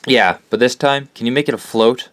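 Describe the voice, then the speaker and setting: A man's voice: mid-range voice, a little fast, a bit bright, no echo, lightly acted. An actor playing an earnest, loyal, troubled teenage boy with a sincere, plain-spoken way of talking in an audio drama. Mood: reflective, uneasy. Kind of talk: conversational audio drama acting.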